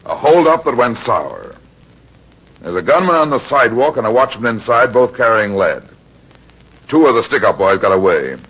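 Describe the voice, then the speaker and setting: Husky hard-boiled American radio detective. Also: male voice, mid-range voice, big pitch swings, normal speed. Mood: terse, urgent. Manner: clipped, matter-of-fact, tough. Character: hard-boiled, unsentimental male private detective in pulp radio drama. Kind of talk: old-time radio noir acting and narration. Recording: muffled old-time radio recording.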